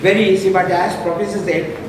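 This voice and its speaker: Sri Lankan engineer lecturing in a hall. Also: male voice, high-pitched, little pitch movement, quick pace. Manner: informal, frequent "right?" tag questions. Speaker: guest lecturer, principal engineer. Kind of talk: technical talk to engineers. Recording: echoey hall, far from the mic, noisy.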